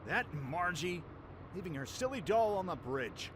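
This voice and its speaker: A man's voice: sits high, very animated, moderate pace, fairly bright tone, a little hiss or hum. A man playing a blunt, hot-headed young leader in a radio drama, given to exclamations. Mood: puzzled, annoyed. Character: brash, hot-headed young male leader, teasing and protective. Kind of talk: fan radio drama acting.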